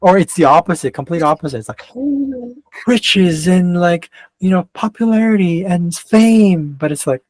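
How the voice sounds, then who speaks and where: A man's voice: sits high, moderate pace, darkish tone, no echo. A man with a mixed Canadian and West Coast American accent giving a casual astrology chart reading, rambling and often interrupting himself. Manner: rambling, self-interrupting, digressive.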